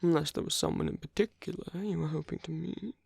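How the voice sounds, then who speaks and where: A performer playing a suave, flirtatious villain at a royal masquerade in an ASMR audio roleplay, speaking softly and breathily with teasing, lingering pauses, close to the microphone. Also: medium pitch, no room sound. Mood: teasing, curious. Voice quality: breathy, soft, often near whisper. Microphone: close dry microphone.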